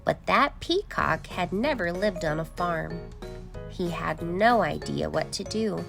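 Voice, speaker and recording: A woman reading a children's storybook aloud as an expressive, deliberate narrator. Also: fairly low pitch, gravelly, over music. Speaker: children's book reader.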